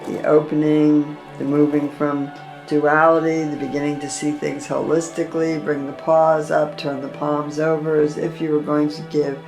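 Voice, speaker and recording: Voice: mid-range voice, little pitch movement, over music, rough recording. A meditation guide leading a chakra session, drawing out the breathing cues. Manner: slow, drawn-out breathing cues.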